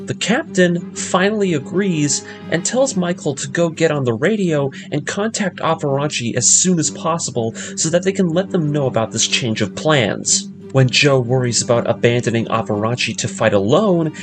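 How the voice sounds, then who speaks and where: A man narrating an episode-by-episode story breakdown as host, moving between scripted recap and casual commentary. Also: fairly high pitch.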